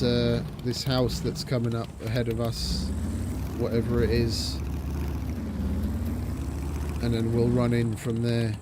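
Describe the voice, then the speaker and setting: A man's voice: mid-range voice, very noisy. A man giving casual commentary while streaming a game, thinking aloud and talking to his chat. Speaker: gaming streamer.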